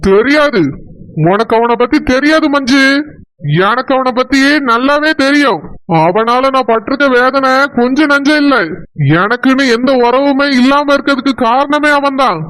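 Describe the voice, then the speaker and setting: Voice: high voice, steady tone, normal speed, fairly bright tone. A performer voicing a stern middle-aged father who speaks curtly and bitterly. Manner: curt, stern.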